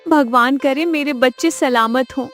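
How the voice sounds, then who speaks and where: A woman's voice: high-pitched, moderate pace, fairly bright tone, faint music behind. A woman playing an anxious, tender mother goat in a cartoon fable, with an earnest, pleading manner. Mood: worried, pleading. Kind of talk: Hindi cartoon story acting.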